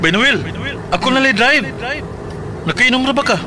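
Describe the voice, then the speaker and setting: A man playing a loud, high-pitched teasing buddy. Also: animated, normal speed. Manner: loud, teasing, ends in a shout. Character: young man, Noel's loud teasing best friend. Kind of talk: radio drama acting. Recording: car and street noise outside.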